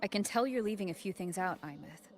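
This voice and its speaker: A woman playing a calm, perceptive young heroine in a video game, speaking softly in a measured, serious way. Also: mid-range voice, close to an everyday voice. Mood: resolved. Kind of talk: video game story voice acting.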